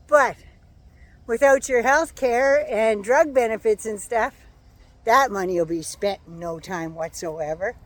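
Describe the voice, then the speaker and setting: A woman giving a sarcastic, profane political rant as a vlogger, her voice going hoarse. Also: fairly high pitch. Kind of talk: casual ranting monologue.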